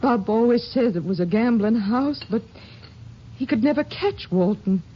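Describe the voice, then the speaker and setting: An actress playing a grieving sheriff's widow in a 1950s radio drama, speaking softly and haltingly with a Texan accent. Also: female voice, a little high, husky. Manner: hesitant, halting. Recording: muffled old radio recording.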